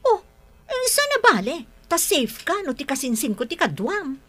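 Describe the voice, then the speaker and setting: Playful matchmaking girlfriend, radio drama, high-pitched, very animated, teasing drawl. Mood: breezy scheming. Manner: slow drawn-out teasing delivery. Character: bubbly young woman, teasing meddling best friend and matchmaker.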